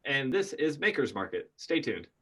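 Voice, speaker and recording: A man's voice: mid-range voice, big pitch swings, normal speed, a bit bright, husky. A man hosting a casual interview, chatty and enthusiastic, often restarting his sentences. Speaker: interviewer host. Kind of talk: casual video-call interview.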